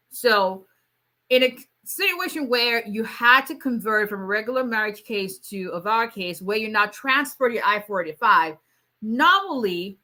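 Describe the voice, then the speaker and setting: Woman immigration attorney, bright voice, casual live Q&A. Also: a little high. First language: Yoruba. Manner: conversational, many fillers, reads out viewer questions. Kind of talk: live Q&A stream.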